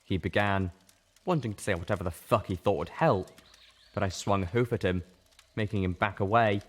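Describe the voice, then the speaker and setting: A man's voice: on the low side, normal speed. A man reading an audiobook as a dramatic, intense first-person narrator. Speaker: audiobook reader.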